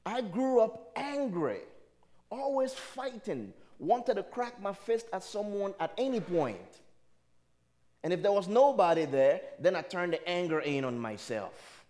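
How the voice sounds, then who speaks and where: Male spiritual speaker, Liberian accent and native dialect speaker. Fairly high, very lively pitch, emphatic motivational sermon delivery. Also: a little slow. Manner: emphatic, rhetorical, questioning the audience. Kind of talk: motivational sermon to a live audience.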